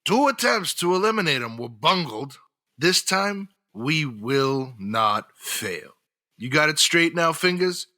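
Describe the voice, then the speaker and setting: A man's voice: on the high side, very animated, slow pace, bright, forceful tone, heavily acted. A man playing a smug, menacing crime boss and escaped convict, plotting in a comic audio drama. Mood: smug, scheming.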